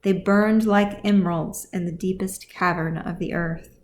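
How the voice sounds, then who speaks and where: A woman's voice: on the low side, fairly even intonation, a little slow, a little hiss or hum. A woman reading scripted fantasy fiction as audiobook narration, as the author of the story.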